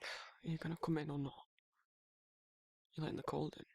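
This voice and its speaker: A man voicing a gruff but caring werewolf in an intimate ASMR roleplay, with a husky Northern English accent and a hesitant, informal way of talking. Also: a little high, level tone, moderate pace, no room sound, studio quality. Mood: awkward, tender. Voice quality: husky, gravelly. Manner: hesitant, informal, stumbling over feelings. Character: gruff but caring young male werewolf, awkwardly protective.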